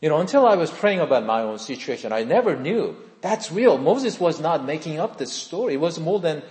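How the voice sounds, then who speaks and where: Pastor in his 50s, lively up and down preaching voice, big echoey church hall. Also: fairly high pitch, brisk. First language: Korean. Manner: earnest, conversational, asks the congregation questions. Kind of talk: sermon with personal testimony.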